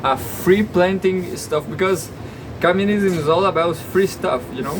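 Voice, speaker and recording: Guy reading news, kinda high voice, stumbles and repeats words, loud ac hum behind him. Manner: halting, repeats words, sarcastic asides. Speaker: news commentator. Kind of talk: reading news with off-the-cuff commentary.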